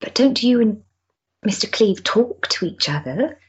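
A woman's voice: a little high, lively intonation, unhurried, breathy edge, dull audio, exaggerated voice. An actress playing an earnest, impulsive, lonely Victorian woman in a period stage drama, with a hesitant, emotional manner. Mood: concerned, puzzled.

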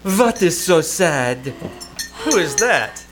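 World-weary war-veteran publicist, played by a man, dry and wisecracking, animated intonation, noisy stage recording. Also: high voice, slow, full character voice. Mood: dry teasing. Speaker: actor in old-time radio troupe. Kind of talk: 1950s radio comedy acting, live on stage.

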